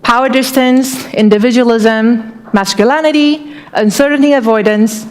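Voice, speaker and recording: A woman's voice: fairly high pitch, normal speed, clear, resonant tone. Woman speaker, from Taiwan, chatty, stage talk, big reverberant hall. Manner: chatty, engages audience with questions. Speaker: conference speaker. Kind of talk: conference talk. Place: large conference hall.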